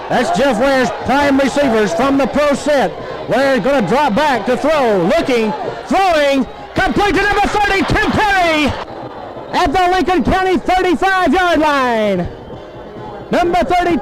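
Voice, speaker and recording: A man's voice: very high voice, poor audio. A man calls live football play-by-play on a 1970s radio broadcast, in clipped phrases full of names and numbers.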